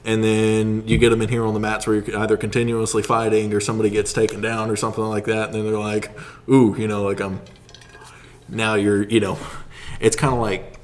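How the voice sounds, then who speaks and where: Male podcast guest, lowish voice, loose rambling storyteller, slight background noise. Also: level tone, fairly quick. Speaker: podcast guest, martial artist. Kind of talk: casual podcast chat.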